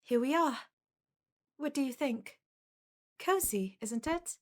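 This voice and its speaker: A woman voicing a lovestruck, clingy undead corpse bride in an ASMR audio roleplay, soft, earnest and pleading. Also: sits high, animated, takes it slowly. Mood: relieved, tender.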